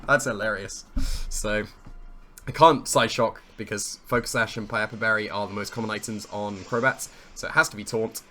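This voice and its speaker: A man with a slightly hoarse, croaky voice commentates his own competitive match in casual play-by-play, thinking aloud, weighing his options and amused at his own plays. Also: on the low side, fairly even intonation, moderate pace, pushed and bright, soft background music.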